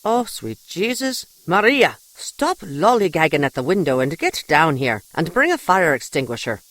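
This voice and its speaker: An actress playing a brisk, authoritative Mother Superior in an audio drama, bossy and no-nonsense. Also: low voice, big pitch swings, a bit bright, heavily acted. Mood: exasperated command.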